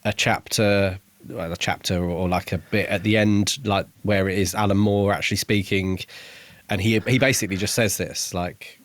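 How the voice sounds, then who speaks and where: A man with a lowish voice, recorded close and dry. He chats as a podcast co-host, hesitantly assembling his thoughts on a book. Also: fairly even intonation, normal speed, no echo. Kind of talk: casual book discussion.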